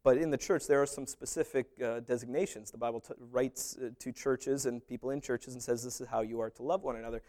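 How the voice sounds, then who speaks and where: A man preaching a sermon as pastor, animated, with humorous asides and little acted-out dialogues. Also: mid-range voice, quick pace, few pauses.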